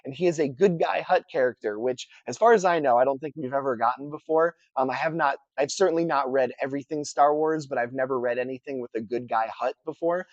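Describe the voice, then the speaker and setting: A man's voice: medium pitch, quick pace, few pauses, no echo, lo-fi sound. A man with a congested, nasal voice casually reviewing books he read, chatty with lots of fillers. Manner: relaxed, chatty, filler-heavy with restarts. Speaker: book reviewer. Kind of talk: casual book review vlog.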